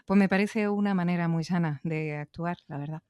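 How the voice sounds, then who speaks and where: Low-pitched woman interviewer, dry sound. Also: a little fast, a little mellow, smooth, dry recording, dull audio. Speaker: interviewer, host. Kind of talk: conversational interviewing.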